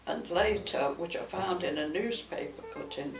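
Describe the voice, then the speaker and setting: A woman's voice: fairly low pitch, normal speed, vocal fry, echoey room, distant mic, over music, rough recording. An older woman steadily recalling detailed memories from her school days. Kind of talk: reminiscing.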